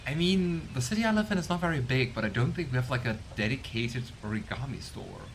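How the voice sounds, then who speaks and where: A man giving casual gameplay commentary, chatty and curious, thinking out loud. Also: medium pitch, fast talker, a little hiss or hum. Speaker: let's play streamer.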